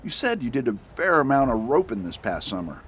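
An actor playing a steady, determined male US marshal in a Western radio drama, commanding and earnest. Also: medium pitch, fairly quick, slight background noise. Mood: urgent. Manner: commanding in action, earnest and friendly at rest. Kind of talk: amateur radio drama acting.

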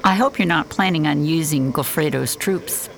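A skeptical, principled space explorer, played by a woman, questioning things dryly in a creaky voice. It is science fiction radio drama, recorded with clear background noise. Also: sits low, moderate pace. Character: skeptical, principled female expedition colleague challenging the leader.

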